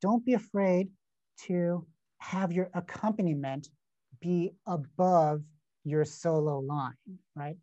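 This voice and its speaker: A man's voice: high-pitched, slow, mellow, lo-fi sound. A man critiquing a student's score in an online composition masterclass, talking casually with many fillers and "right?" checks. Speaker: composition teacher giving feedback. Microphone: video call.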